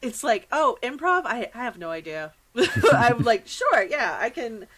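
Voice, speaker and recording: A woman's voice: fairly high pitch, very animated, a little slow, a bit bright, rough recording. A middle-aged American woman chatting casually as a podcast host, with slangy asides and acted-out reactions.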